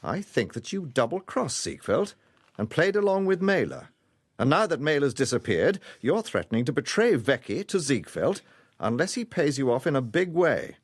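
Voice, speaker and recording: An actor playing an urbane gentleman police detective in a radio drama, with a British accent and a courteous, probing, dryly amused manner. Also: fairly high pitch, sing-song, moderate pace, slightly rough, no echo, studio quality, exaggerated voice. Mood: cool, confrontational.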